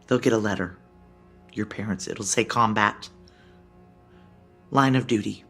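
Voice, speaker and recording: A woman's voice: extremely low pitch, a little slow, slightly rough, soft background music, heavily acted. An actress playing a tough, weary female security chief who narrates a sci-fi thriller audio drama in clipped phrases. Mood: grim, subdued. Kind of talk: first-person audio drama narration and dialogue.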